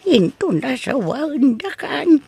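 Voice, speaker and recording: A woman acting a scolding, amused old spinster in an Ilocano comedy audio drama, creaky and exclaiming, with an Ilocano accent. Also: high-pitched, very animated, slow, warm tone, dry recording, heavily acted. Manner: exclaiming, teasing, breaking into cackles.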